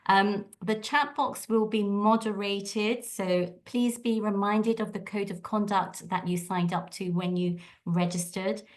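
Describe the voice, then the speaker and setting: A woman at a mid pitch gives housekeeping notes for an online event over a video call. She is friendly and fills her pauses with many ums. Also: fairly even intonation. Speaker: event co-host. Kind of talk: housekeeping announcements.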